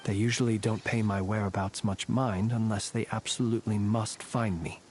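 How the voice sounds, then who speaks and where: A man playing a courtly, composed warrior in a video game, speaking formally in archaic, eloquent and measured phrases with a wry stoicism. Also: lowish voice, fairly even intonation, a little mellow, a little hiss or hum, close to an everyday voice. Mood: calm, thoughtful. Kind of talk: scripted video game character dialogue. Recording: game audio with background music.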